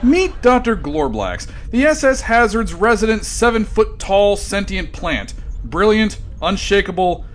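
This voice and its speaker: Theatrical male narrator, lively pitch. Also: unhurried, slight background noise. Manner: grandly theatrical, dramatic swings. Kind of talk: scripted comedic narration.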